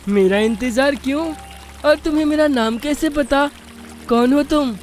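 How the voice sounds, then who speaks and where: A man voicing a timid, eager young schoolboy in an animated bedtime story, full of startled questions and exclamations. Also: very high-pitched, unhurried, soft background music.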